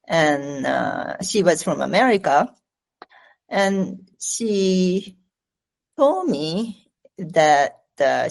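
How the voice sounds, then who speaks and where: Middle-aged japanese lady w/ accent, low voice, talks slow, lots of ums and restarts. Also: creaky, a little mellow. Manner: slow, halting, many fillers and restarts. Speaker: guest. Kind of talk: interview answers.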